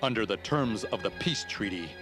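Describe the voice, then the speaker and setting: An actor in an animated series playing a calm, dignified deputy and loyal companion, formal and composed. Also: male voice, on the low side, moderate pace, close to an everyday voice. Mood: firm. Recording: music behind the voice.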